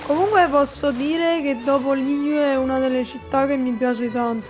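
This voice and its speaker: High-pitched, flat-toned Italian woman streamer. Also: moderate pace. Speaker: gaming YouTuber. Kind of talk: casual gameplay commentary. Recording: game audio and music behind. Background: video game music and dialogue.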